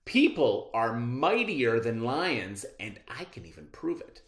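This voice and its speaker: A man's voice: medium pitch, big pitch swings, takes it slowly, echoey room. A man voicing a smug, boastful man in a children's fable, with an insistent manner. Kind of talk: children's storybook read-aloud.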